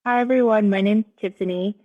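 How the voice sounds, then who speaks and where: A woman's voice: mid-range voice, fairly even intonation, a little fast, phone-quality sound. A woman from Northern California, a cardiology fellow introducing herself casually.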